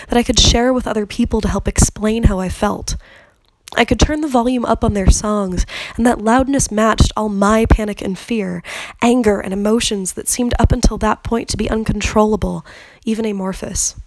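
A woman in her thirties talks through her solo podcast with a gravelly, creaky edge to her voice. She is candid and loose, drops the odd swear word, and sounds slightly stuffed up from a cold. The recording is close and dry, with no room sound. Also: mid-range voice, darkish tone, no room sound, rough recording. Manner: candid, swears freely, conversational. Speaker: podcast host. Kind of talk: casual solo podcast monologue.

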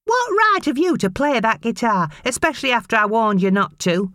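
A woman's voice: on the high side, lively intonation, moderate pace, dry recording, clean studio sound, voice pushed up. A woman voicing a spiteful mother who scolds and rants at her son. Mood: scolding. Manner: sharp, scolding, ranting.

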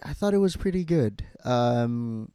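A man hosting a podcast in casual, halting chat peppered with profanity. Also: mid-range voice, animated, dark tone, smooth, no echo, studio quality. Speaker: podcast host.

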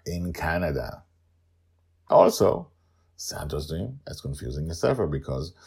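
A man telling a story as podcast host and author, emphatic, with dramatic pauses and informal commentary. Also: a bass voice, creaky, husky.

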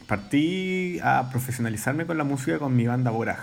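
A Chilean man, a musician guest, talking in a relaxed, rambling way with many fillers. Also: mid-range voice, normal speed, vocal fry. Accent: Chilean Spanish. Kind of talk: casual interview chat.

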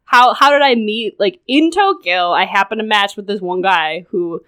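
A woman's voice: medium pitch, animated, normal speed, a bit bright, smooth. A woman guest on a casual podcast, telling a personal story in a loose, chatty way, with lots of "like" and frequent laughter. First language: English. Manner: chatty, full of "like", laughs often.

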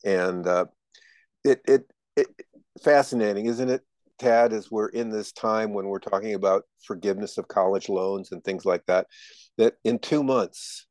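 A man's voice: on the low side, moderate pace, a little mellow, no room sound. A man hosting a podcast, welcoming a guest in an effusive way and often repeating words as he talks. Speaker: podcast host. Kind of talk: casual podcast chat.

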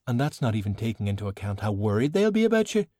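Audiobook role: elegant, cool veteran sorceress, acted by a man. Formal, measured, admonishing, dry studio sound. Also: lowish voice, very animated, mellow, no room sound. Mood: stern warning. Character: worldly, composed, cool woman sorcerer, long-lived and stern.

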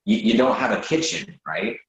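A man acting a reluctant, sensible friend with a halting, incredulous manner in a readers theatre comedy, recorded in an echoing room. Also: close to an everyday voice. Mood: exasperated. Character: exasperated, reluctant male friend, sensible but awkwardly polite.

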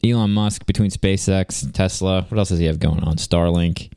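Man, very deep voice, resonant, laid-back rambling chat. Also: steady tone, normal speed, creaky, dark tone, clear, resonant tone, no echo. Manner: laid-back, joking, rambling with many fillers. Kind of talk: casual chat.

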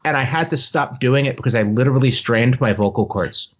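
Playful man in his forties. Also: medium pitch. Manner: playful, quick to laugh. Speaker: podcast co-host. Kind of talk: casual chat. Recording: dull lo-fi sound.

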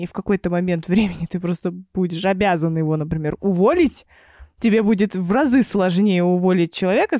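A woman's voice: medium pitch, darkish tone, no echo. Reflective woman host, dry close sound. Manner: reflective, thinks aloud with fillers. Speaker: podcast host. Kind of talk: casual interview chat.

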